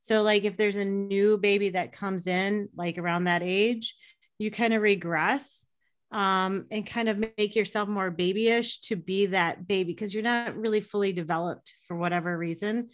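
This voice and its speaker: A woman in a casual conversation, explaining ideas in a relaxed way with many fillers. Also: mid-range voice, normal speed.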